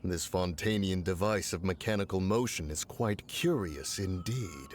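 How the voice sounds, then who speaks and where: A man playing a calm, scholarly immortal in a video game, speaking in formal, pompous and musing phrases. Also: deep voice, a little slow, slight background noise. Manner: pompous, formal, musing, refers to himself as one. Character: calm, scholarly, self-assured male immortal adeptus. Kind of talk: video game cutscene acting.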